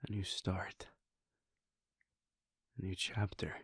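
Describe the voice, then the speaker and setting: A man voicing a devoted adult vampire prince in an audio roleplay, speaking in a hushed, halting, intimate way close to the microphone. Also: deep voice, little pitch movement, slightly rough, dry recording, in a whisper, heavily acted. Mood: reflective. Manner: hushed, halting and intimate, with soft sighs and pauses. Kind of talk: audio roleplay aimed at the listener.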